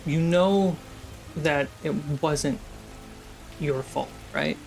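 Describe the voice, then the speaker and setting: A man's voice: fairly high pitch, very slow, vocal fry, soft background music, rough recording. A man working as game master, casually narrating and improvising characters for a tabletop role-playing game.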